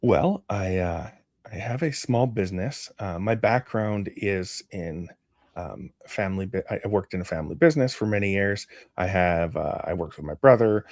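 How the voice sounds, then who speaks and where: Halting, fairly low-voiced man in casual interview. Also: expressive, a little mellow, no echo, studio quality. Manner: halting, restarts phrases often. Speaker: interview guest, community manager.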